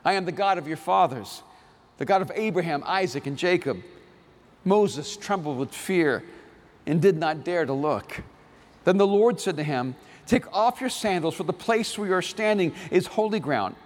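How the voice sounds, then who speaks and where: A man's voice: high voice, big-room sound. A man from El Salvador whose first language is Spanish, preaching a sermon, reading scripture and then telling his own story in an earnest, personal way.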